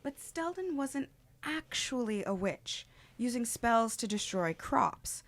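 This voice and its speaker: Brisk female class instructor, played by a woman. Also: fairly high pitch, expressive, full character voice. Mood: brisk, encouraging. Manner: clear, orderly classroom address. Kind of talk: amateur audio drama acting.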